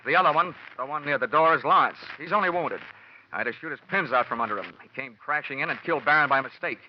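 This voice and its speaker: An actor playing a cocky, hot-tempered American insurance investigator in 1940s radio detective style, brash, sardonic and hard-boiled. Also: male voice, on the high side, expressive, fast talker, natural voice. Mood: angry. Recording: muffled, noisy vintage radio recording.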